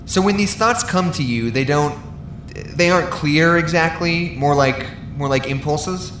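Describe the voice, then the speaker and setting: A man's voice: a little slow, lightly acted. An actor in an audio drama playing a polite, cautious male research doctor who questions hesitantly. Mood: probing. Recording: echoey room, rumbling background noise.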